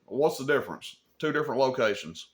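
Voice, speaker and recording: A man with a mid-pitched voice talks off the cuff as the host of a hobby explainer video. He is plainspoken and folksy, leaning on key points, repeating them and tagging them with short check-ins to the listener. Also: lively intonation, brisk. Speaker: CB radio shop video host. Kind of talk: unscripted explainer talk to camera.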